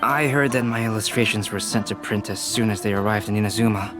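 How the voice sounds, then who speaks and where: A man voicing a calm, composed young male painter in a video game, speaking in a measured way. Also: on the low side, music in the background, natural voice. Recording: game audio with faint score.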